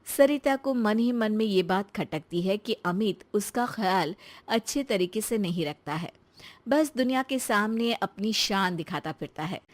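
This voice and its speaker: A woman narrating a children's cartoon story in a plain, steady way. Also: mid-range voice, unhurried.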